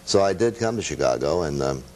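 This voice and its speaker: An older man with a very low voice talks as a radio veteran and interview guest. He tells anecdotes in a halting, modest, self-deprecating manner, casually looking back. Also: lively intonation, darkish tone, slight background noise. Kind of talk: casual chat, reminiscing.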